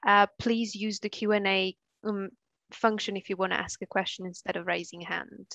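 Woman running the q&a on a video call, polite and a bit hesitant, dry sound, middle pitch. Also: fairly even intonation, moderate pace, creaky, fairly bright tone, dry recording. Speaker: webinar moderator. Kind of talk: moderating a Q&A.

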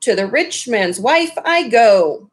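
A woman's voice: on the high side, big pitch swings, takes it slowly. Woman putting on a jaunty singing magic wok.

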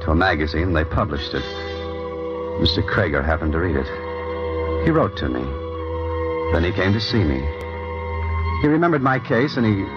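A bitter male ex-convict narrates his story in a vintage radio play. His voice is extremely low and he pauses haltingly. The recording is dull, with music behind him. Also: animated. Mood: weary, reflective. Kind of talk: old-time radio drama acting, first-person narration.

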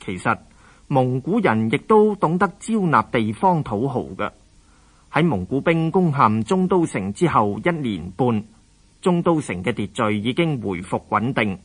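A man with a Hong Kong Cantonese accent, giving formal, measured scripted narration for a radio history programme. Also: mid-range voice, sing-song, husky.

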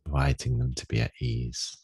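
Very deep, gravelly male meditation guide. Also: flat delivery. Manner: gentle, unhurried instructions with long pauses. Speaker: meditation teacher leading a daily group session.

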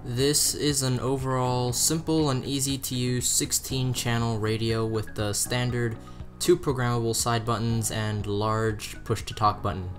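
A man's voice: medium pitch, flat delivery, a little slow. A man presenting a scripted product review as narration. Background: background music.

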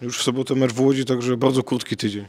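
A man's voice: medium pitch, fairly even intonation, fast, darkish tone. A man asking a player short post-match interview questions for a club channel.

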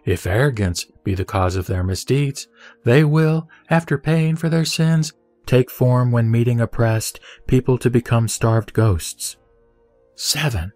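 A man recites scripture in a solemn, formal style, setting out each phrase with measured care. His voice sits at a medium pitch, recorded close and dry, with faint music behind it. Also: a little mellow, no echo. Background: background music.

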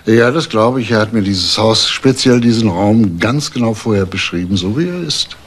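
An actor playing a calm, persistent police detective in a crime drama, speaking in a matter-of-fact, official way. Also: male voice, fairly low pitch, normal speed, husky. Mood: firm, insistent. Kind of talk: German TV crime drama acting.

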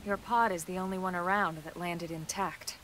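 Actress playing female sci-fi soldier, game cutscene, lowish voice, steady, reassuring, matter-of-fact, faint rainy hiss. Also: normal speed, lightly acted. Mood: calm, reassuring. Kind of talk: video game cutscene acting. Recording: faint rain and hiss behind.

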